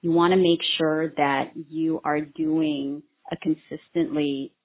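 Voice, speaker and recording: A woman in her thirties from Vietnam answers interview questions, earnest and hesitant, with many ums. Her voice sits low and a little husky. The sound is thin and tinny, like a phone line. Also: level tone, slow. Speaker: microblading artist and trainer.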